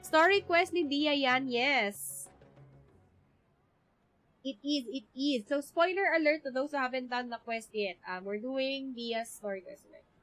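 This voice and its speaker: A woman's voice: sits high, a little slow, fairly bright tone, low-quality recording. A woman with a Filipino accent hosting a casual game livestream and chatting with viewers. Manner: mixes Tagalog and English. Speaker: livestream host, gamer. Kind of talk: casual game stream commentary. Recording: game music behind the voice.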